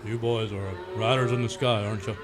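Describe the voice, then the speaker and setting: A man's voice: on the low side, steady tone, fairly quick, heavy background noise, natural voice. An actor playing a laid-back, folksy country man in a radio serial parody, talking in a rambling way. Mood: official, easygoing.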